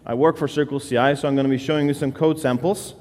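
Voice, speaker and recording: Male conference speaker from Slovenia, mid-pitched, casual and filler-heavy, long hall reverb. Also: fairly even intonation, fast, darkish tone. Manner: casual, loose, with many fillers and restarts. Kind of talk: conference talk.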